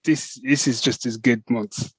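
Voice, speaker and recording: A man's voice: medium pitch, fairly even intonation, measured pace, a bit bright, breathy edge, no room sound. A man co-hosting a podcast, chatting casually and enthusiastically, with frequent restarts. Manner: enthusiastic, rambling with many restarts. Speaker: podcast co-host. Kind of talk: casual chat.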